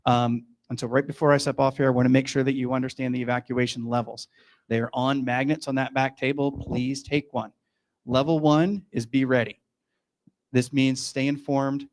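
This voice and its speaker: A man briefing residents at a community meeting on evacuation levels, plain-spoken and instructive. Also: mid-range voice. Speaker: emergency management official.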